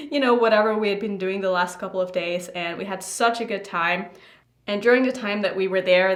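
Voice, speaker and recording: A woman's voice: medium pitch, fairly bright tone, roomy sound. A woman from Norway telling a casual, chatty story to her viewers in an informal, rambling way with frequent asides. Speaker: makeup vlogger.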